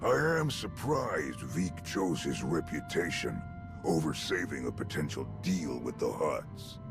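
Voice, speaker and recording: Casting: a stately, disdainful crime negotiator for a game, bottomless gravelly voice with animated swoops, over music. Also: male voice, rumbling low, a little slow, exaggerated voice. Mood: cool disdain. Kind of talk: video game cutscene acting. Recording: music behind the voice.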